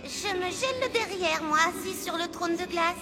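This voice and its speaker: Very high, boastful little cartoon girl. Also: lively intonation, normal speed, over music. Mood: gloating. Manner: childish, boastful, giggly. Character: mischievous little girl playing a boastful ice queen. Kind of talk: French cartoon dubbing.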